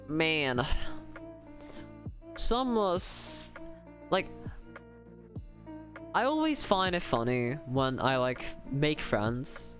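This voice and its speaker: Casting: young male streamer, playful slangy rambling, faint music underneath. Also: a little high. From Czech Republic. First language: Czech. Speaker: livestreamer, VTuber. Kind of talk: casual chat with stream viewers.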